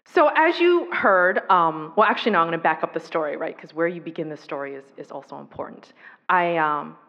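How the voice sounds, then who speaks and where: A woman's voice: lowish voice, animated. Earnest woman speaker in an echoey hall. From US East Coast. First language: Taiwanese. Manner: reflective, earnest, with pauses and fillers. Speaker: speaker on violence prevention. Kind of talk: personal keynote talk. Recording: dull recording in an echoey hall.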